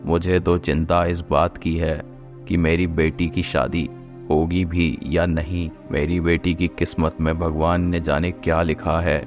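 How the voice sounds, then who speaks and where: A man playing an aging, stern and worried father in a dramatic, deliberate Hindi cartoon story delivery. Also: low voice, level tone, faint music behind. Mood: worried, weary. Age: older.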